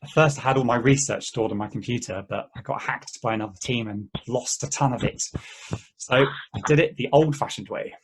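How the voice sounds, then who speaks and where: A man playing an easygoing game player in an amateur table read, talking in a halting, conversational way. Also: mid-range voice, background noise, lightly acted. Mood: reminiscing. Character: easygoing, rambling male player of an endless treasure-hunt game.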